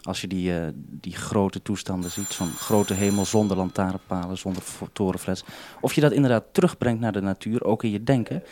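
A man's voice: deep voice, brisk, few pauses, dark tone, slight background noise. A man hosting an unscripted two-way radio conversation, speaking hesitantly and often interrupting himself. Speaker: radio programme maker and host.

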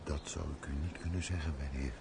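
A man's voice: very deep voice, fairly even intonation, fast, a little mellow, a little hiss or hum. An actor playing a loyal, frank and compassionate male servant in a radio play. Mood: firm, pleading.

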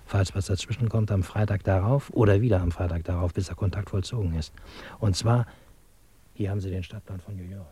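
A man's voice: low-pitched, steady tone, fairly quick, noisy background, close to an everyday voice. An actor playing a guarded, cynical intelligence handler in a radio play, wry and precise in his phrasing. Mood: exact, instructing. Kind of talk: German radio play acting.

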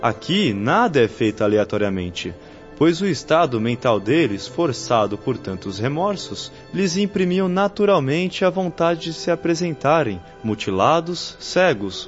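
Muffled, solemn young missionary spirit. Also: male voice, on the high side, big pitch swings, a little slow. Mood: solemn, instructive. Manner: didactic, formal, solemn. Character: earnest young male spirit missionary, patient instructor. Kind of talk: radio drama acting. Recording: muffled lo-fi radio drama recording, faint music.

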